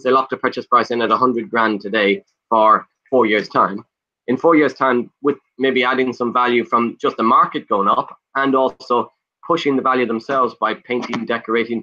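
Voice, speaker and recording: Pushy, bright-voiced Irish man pitching. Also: dull audio. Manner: fast, pushy, lists things off in rapid bursts. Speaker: guest, property investor. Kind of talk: enthusiastic sales pitch in conversation. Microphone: video call.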